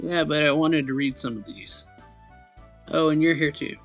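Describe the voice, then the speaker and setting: Casual man reading a story, slightly gravelly. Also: a little high, normal speed, muffled recording, faint music behind. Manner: informal, stumbles and corrects misreadings. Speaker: let's play host reading a visual novel aloud. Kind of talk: casual read-aloud narration of a visual novel.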